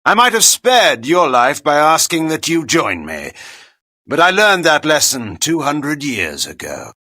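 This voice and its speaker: An actor playing a proud, vengeful vampire lord in a video game, declaiming in a cold, formal and theatrical manner. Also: male voice, a little high, wildly swooping pitch, measured pace, sharp tone, no room sound, studio quality, full character voice. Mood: contemptuous, vengeful. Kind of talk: dramatic video game voice acting.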